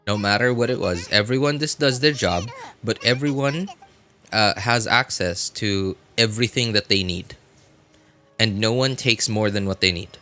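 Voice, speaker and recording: A man's voice: fairly low pitch, moderate pace, sharp tone, faint music behind. A man chatting casually as a game livestreamer, hesitant and searching for words, often repeating himself. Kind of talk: casual rambling livestream chat.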